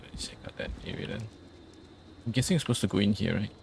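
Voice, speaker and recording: A man's voice: fairly low pitch, quick pace, gravelly, a little mellow, a little hiss or hum. A man giving casual let's play commentary, thinking aloud and reading out instructions as he goes. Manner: murmured thinking aloud, reads on-screen instructions. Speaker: gaming video host.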